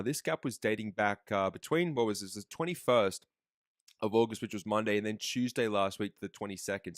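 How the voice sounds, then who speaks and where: A man with the flu, slowly losing his voice, talks fast through a trading lesson over his screen, with fillers and quick self-corrections. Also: fairly low pitch, no room sound, clean studio sound. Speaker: trading educator. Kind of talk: screen-recorded market analysis.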